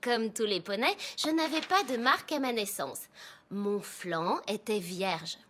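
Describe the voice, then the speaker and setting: A woman voicing a cheerful female pony schoolteacher in a cartoon dub, explaining things clearly, gentle but firm. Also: medium pitch, lively intonation, measured pace. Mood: bright, instructive. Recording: music behind the voice.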